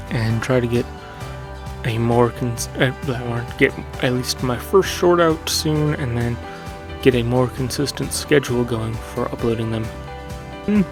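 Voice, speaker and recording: Soft-spoken man musing about his channel. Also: medium pitch. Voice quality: soothing. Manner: meandering, self-correcting with fillers. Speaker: gaming channel host. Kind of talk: casual reflective monologue.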